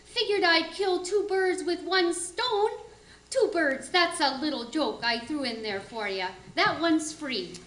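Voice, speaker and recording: A woman's voice: high-pitched, a little slow, fairly bright tone. A woman playing a chatty, oblivious auntie with a folksy Minnesotan accent in a comic radio play, pleased with her own jokes. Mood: chirpy, oblivious. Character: folksy, chirpy, oblivious auntie giving silly turkey advice. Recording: echoey room.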